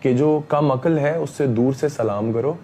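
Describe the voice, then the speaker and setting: A man, speaking emphatically as an interview guest, repeating himself and often pausing on fillers. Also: mid-range voice, mellow, a little hiss or hum. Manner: emphatic, repetitive, frequent fillers. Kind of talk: heated interview talk.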